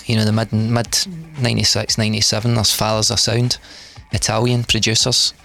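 A middle-aged Scottish man, a DJ and producer, chatting in an interview in a relaxed, rambling way with lots of fillers and restarts. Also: lowish voice, brisk, gravelly, soft background music. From Scotland. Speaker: DJ and producer guest. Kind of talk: casual interview chat.

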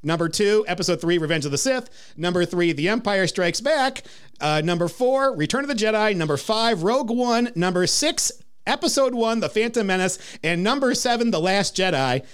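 A man's voice: high-pitched, animated, normal speed, smooth. A man hosting a movie review podcast, teasing his co-host and rattling off lists.

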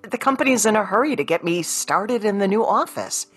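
An actress playing a patient, practical ex-wife in a radio play, with a natural, placating way of talking. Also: female voice, medium pitch, lively intonation, brisk, fairly bright tone, slightly rough, faint music behind. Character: patient, practical career woman, an exasperated ex-wife.

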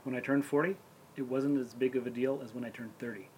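A man in his forties hosting a relaxed podcast with friends. He talks at an even, middling pitch and laughs easily as he tells stories, over a faint hum of ambience behind him. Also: fast, rough recording. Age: 40s. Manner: laughs often while telling stories. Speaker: podcast host. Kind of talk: casual chat.

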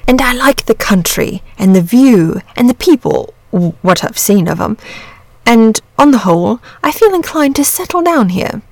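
A woman's voice: a little high, lively intonation, moderate pace, no room sound, pitched above the performer's own voice. A woman voicing an amiable, lazy, bashful male dragon from a storybook, chatty, rambling and genteel. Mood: chatty, content. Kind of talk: storybook character reading.

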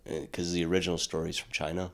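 Deep-voiced man, halting podcast chat. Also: little pitch movement, creaky, fairly bright tone. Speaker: podcast co-host. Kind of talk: casual podcast banter.

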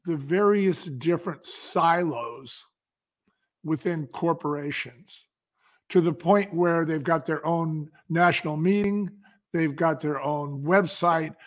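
An American man with a fairly high-pitched voice speaks as a guest in an interview. He tells his story conversationally and makes points emphatically, often posing a question and answering it himself. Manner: emphatic, uses rhetorical questions. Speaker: interview guest, company founder.

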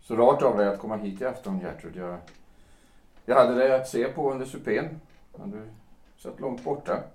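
An actor playing a vain, world-weary, cynical playwright in a period audio drama, musing wryly with hesitant phrasing. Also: male voice, medium pitch, close to an everyday voice. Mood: softly courting. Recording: roomy echo, distant from the mic.

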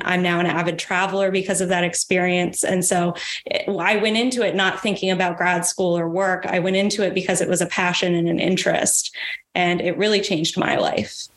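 A woman's voice: lowish voice, fairly bright tone. A woman speaking as a panelist, sharing personal experience and advice conversationally in an echoey room.

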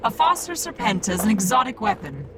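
An actress playing a haughty, regal priestess of a machine cult who speaks in a smug, measured, condescending way over loud music. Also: female voice, mid-range voice, big pitch swings, noisy background, lightly acted.

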